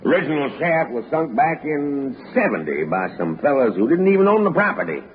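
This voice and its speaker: An actor playing a cautious, gruffly good-natured mine hand with a rustic dialect in a 1960s radio drama. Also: male voice, mid-range voice, very animated, brisk, lightly acted. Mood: grumbling, explaining. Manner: rustic, drawling dialect with dropped grammar. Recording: noisy, tinny old radio broadcast.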